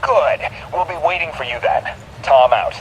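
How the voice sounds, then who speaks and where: Brisk male leader over noisy radio. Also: on the high side, dull audio. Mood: satisfied, brisk. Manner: brisk, businesslike. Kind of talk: video game voice acting.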